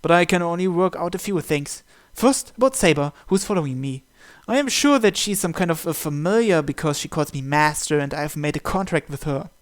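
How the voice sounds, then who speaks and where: A man's voice: a little high, lively intonation, fairly quick, few pauses. A man reading a visual novel script aloud as narration for his gaming series.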